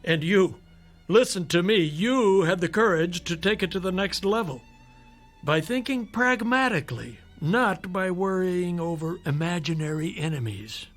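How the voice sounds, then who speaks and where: An actor playing a skeptical, fatherly doctor in a radio drama, speaking in a measured, patronizing way and coaxing with rhetorical questions. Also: high-pitched, lively intonation, faint music behind. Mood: reassuring. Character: male doctor, persuasive, skeptical, fatherly toward his patient.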